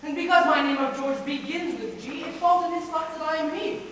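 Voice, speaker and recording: A young woman plays a wronged noble prisoner in a Shakespearean stage play. The voice is high, formal and projected to the back of the house. It is heard from a distance in a very echoey hall with faint noise. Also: steady tone, a little fast, a bit bright. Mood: aggrieved. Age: young adult. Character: wronged nobleman, resigned brother of the king, under arrest. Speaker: high school stage actor. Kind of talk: theatrical Shakespearean stage acting. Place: high school stage performance, recorded from afar.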